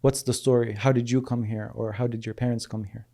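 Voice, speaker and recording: Host, male, mid-range mellow voice, casual and direct interviewer. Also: mid-range voice, little pitch movement, fairly quick, creaky. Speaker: podcast host.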